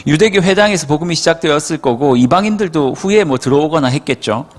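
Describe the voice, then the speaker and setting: Lively Korean pastor in a reverberant hall. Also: male voice, fairly high pitch, fast. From Seoul. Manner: conversational, asks the room for agreement. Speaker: youth pastor. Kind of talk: sermon. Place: church hall.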